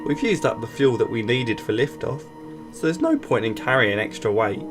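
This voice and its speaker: An excitable young boy astronaut, as a man reads him from a children's storybook. He sounds eager and confidently reassuring, with clear music underneath. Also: mid-range voice, normal speed, close to an everyday voice. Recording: music bed behind voice.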